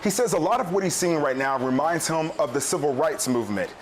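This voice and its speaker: A man's voice: a little high, animated, a little fast, slight background noise. A man narrating a scripted news report as a reporter.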